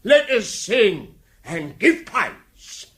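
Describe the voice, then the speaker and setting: An actor playing a reformed Cockney burglar turned pious locksmith in a radio drama, with preacherly fervour and folksy, ungrammatical speech. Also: male voice, sits high, sing-song, extremely slow, a bit bright, full character voice. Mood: fervent, preaching. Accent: London Cockney.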